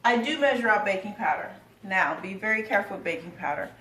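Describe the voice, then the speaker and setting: Relaxed woman demonstrating a recipe in her kitchen, loose and chatty, recorded from a distance. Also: medium pitch, quick pace, echoey room, slight background noise. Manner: chatty and relaxed, with filler words. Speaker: home cooking demonstrator. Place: home kitchen.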